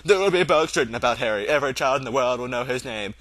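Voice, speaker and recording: A man's voice: mid-range voice, animated, quick pace, fairly bright tone, no room sound, natural voice. A man voicing a stern, anxious older female professor in a storybook reading, with emphatic, fussy, scolding delivery. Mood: indignant. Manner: emphatic, exclamatory, clipped scolding.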